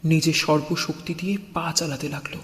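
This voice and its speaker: A man narrating a scripted horror story in a suspenseful, expressive, dramatic style. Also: a little high, a little fast. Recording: long cavernous reverb.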